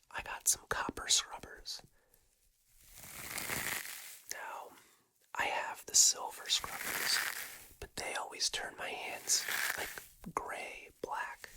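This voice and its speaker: An ASMR host whispering softly to the camera, her voice a little scratchy and hoarse, chatting in easy asides about each object. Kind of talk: whispered ASMR trigger video. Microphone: close microphone for ASMR.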